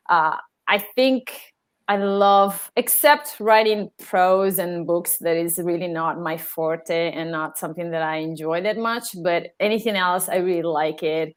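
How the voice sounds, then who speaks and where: A woman, a writer and playwright, answering on a panel and searching for her words. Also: fairly low pitch. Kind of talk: panel discussion.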